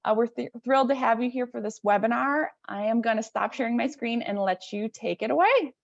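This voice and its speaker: A woman's voice: fairly high pitch, fairly quick, gravelly, dry recording. A woman hosting an online webinar, giving a prepared introduction that is partly read aloud over a video call. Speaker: webinar host introducing a speaker. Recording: slightly dull video-call audio.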